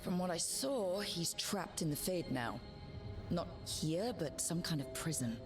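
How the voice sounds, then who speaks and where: An actress playing an earnest, steady young woman who leads a band of adventurers, in a measured manner for a video game cutscene. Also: lowish voice, over music.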